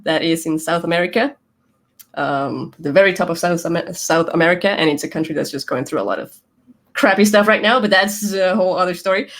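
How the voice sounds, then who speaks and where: Chatty woman with a soft Venezuelan accent. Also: on the low side, brisk. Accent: Venezuelan Spanish, softened. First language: Spanish. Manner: chatty, laughs often, rambling storyteller. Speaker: guest, YouTuber. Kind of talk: casual interview chat.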